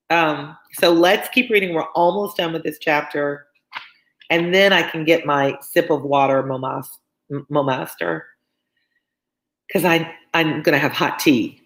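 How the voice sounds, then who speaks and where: A woman with a low-pitched voice hosts a read-along. She moves between narrating a novel and informal, conversational asides, like a tutor talking a class through the book. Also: fairly bright tone, poor audio. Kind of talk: reading a novel aloud with chatty commentary.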